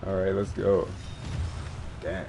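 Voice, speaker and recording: Low, gravelly male game streamer. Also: flat delivery, slow, mellow, a little hiss or hum. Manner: slangy, reactive asides. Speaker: gaming live streamer. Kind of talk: casual live game commentary. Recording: game music behind the voice. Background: video game music and sounds.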